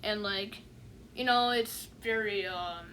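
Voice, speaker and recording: A woman's voice: mid-range voice, slow, pushed and bright, slight background noise, rough recording. Rambling, unimpressed woman reviewer. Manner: rambling, heavy fillers, repeats "you know what I'm saying"; dismissive, unimpressed. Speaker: online reviewer. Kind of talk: casual spoken review.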